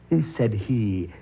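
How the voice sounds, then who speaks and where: Low-voiced sinister fortune teller, old radio. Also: male voice, creaky, full character voice. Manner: deliberate, formal, menacing theatrical delivery. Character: sinister vengeful male fortune teller, coldly vain. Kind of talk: old-time radio drama acting. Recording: muffled, noisy old radio recording.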